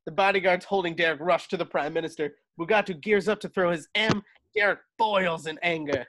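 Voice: medium pitch, brisk, fairly bright tone. A narrator reading screenplay stage directions for a table read over a video call.

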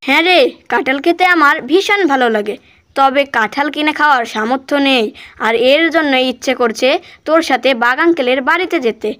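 A little fox kid from a cartoon , voiced by a woman, speaks in a high voice. The youngster is hard-up, plaintive and a touch cheeky. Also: brisk, fairly bright tone. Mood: wistful. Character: poor, plaintive young fox child named Boltu. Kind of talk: cartoon voice acting.

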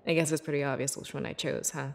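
A woman playing a young, devoted beast-folk scribe in a fantasy video game, with earnest, measured and expressive delivery. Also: low voice, vocal fry, faint music behind. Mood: reflective, sincere. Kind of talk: scripted video game character dialogue.

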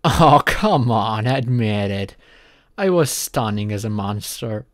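A man's voice: lowish voice, lively intonation, slow pace, no echo, clean studio sound. A man in a casual roleplay chat, speaking in a playful, teasing manner.

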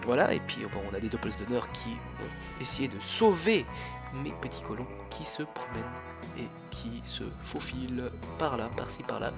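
A man's voice: medium pitch, lively intonation, moderate pace, vocal fry, loud music behind the voice. A young man with a cold who coughs now and then, chatting casually and rambling through his commentary on a strategy game, full of fillers and restarts. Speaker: gaming YouTuber.

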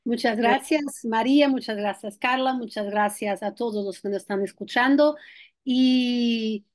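A woman's voice: fairly high pitch, a little slow, no echo. A woman in her thirties from Portugal, a clinical nutritionist presenting a webinar over a video call, speaking with a Portuguese accent and stopping often to restart her sentences. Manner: hesitant, many restarts and fillers. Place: home room with bookshelves.

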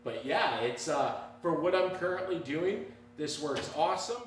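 A man's voice: on the high side, lively intonation, moderate pace. A man in his thirties chatting informally and enthusiastically, unscripted, as a hobby woodworker showing his shop. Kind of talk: casual unscripted vlog chat. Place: garage-sized woodworking shop, indoors. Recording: echoey room with background noise and clicks.

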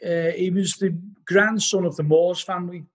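A middle-aged man with a Liverpool accent, his voice somewhat husky and pitched a little above most men's. He rambles through his anecdotes, scattering "you know" throughout. He is talking over a laptop webcam from his living room at home. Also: steady tone, moderate pace. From Liverpool, England. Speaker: podcast guest, band vocalist. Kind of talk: casual storytelling interview. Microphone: laptop webcam on a video call.

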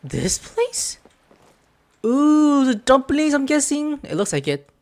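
A man's voice: extremely high pitch, big pitch swings, slow. A young man giving casual gameplay commentary as a streamer in a room. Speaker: gaming streamer. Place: indoor room, webcam setup.

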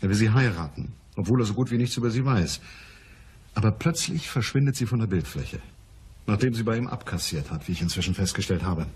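Very husky private detective. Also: male voice, on the low side, expressive, brisk, darkish tone. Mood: shrewd, businesslike. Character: shrewd, businesslike male private detective. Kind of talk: radio crime play dialogue.

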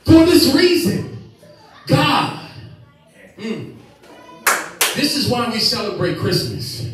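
A man's voice: sits high, a bit bright. Impassioned man preaching in an echoey church. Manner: impassioned preaching, builds in repeated refrains; moves about the stage, bending and gesturing. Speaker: pastor. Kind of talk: sermon. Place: church stage with lit screens, indoors. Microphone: handheld mic. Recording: echoey room from a distant camera.

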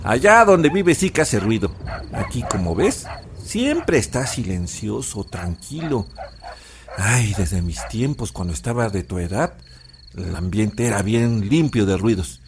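An actor playing a gentle old Mexican village grandfather who soothingly tells ghost legends in an audio drama. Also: on the low side, sing-song, measured pace, noisy background. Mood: nostalgic storytelling. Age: older.

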